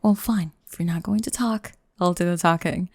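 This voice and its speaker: A woman's voice: lowish voice, moderate pace, a little mellow, dry recording. A young woman playing a sardonic goth bully girl in an intimate ASMR roleplay, grumbling and teasing the listener up close. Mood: teasing disbelief. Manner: teasing, grumbling, sighing, dropping into breathy murmurs. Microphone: close microphone, dry.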